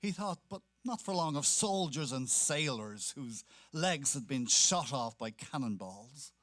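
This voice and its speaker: A man's voice: mid-range voice, expressive, measured pace, a bit bright. A man narrates literary prose from a script. His voice sits in the middle range, with a dry sound free of room echo. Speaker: narrator in a staged reading.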